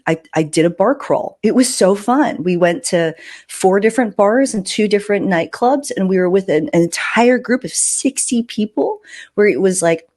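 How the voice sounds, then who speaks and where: A woman's voice: mid-range voice, darkish tone. A woman hosting a podcast in casual, conversational talk, with an informal, emphatic way of speaking that repeats phrases for emphasis. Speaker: podcast host.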